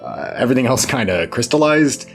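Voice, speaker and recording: A man's voice: medium pitch, lively intonation, a little fast. A man giving reflective interview answers in a thoughtful, self-deprecating way with wry asides. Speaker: interview guest, horror fiction writer. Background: background music.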